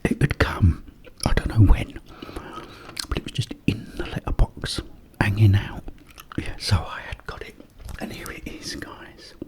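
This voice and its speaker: A British man whispering a casual, rambling ASMR story up close to the microphone, with pauses. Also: fairly low pitch, rough recording. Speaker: ASMR video creator.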